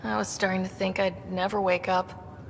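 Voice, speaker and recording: An actress playing a plucky, teasing young woman with a creaky voice in a sci-fi video game. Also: mid-range voice, level tone, moderate pace, close to an everyday voice. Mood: wry. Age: young adult. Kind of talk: naturalistic video game cutscene acting. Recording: echoey reverb with clear background noise.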